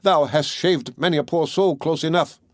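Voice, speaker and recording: A man's voice: a little high, normal speed, no echo, voice pushed up, close to an everyday voice. Male narrator as merry countryman, fairy tale audiobook, honest, plain-spoken, dry recording. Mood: confident.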